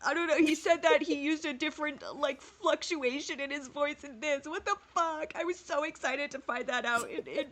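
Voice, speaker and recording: High-pitched, blunt woman co-host. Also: moderate pace, hardly any pauses, a bit bright. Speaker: podcast co-host. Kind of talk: casual bantering chat.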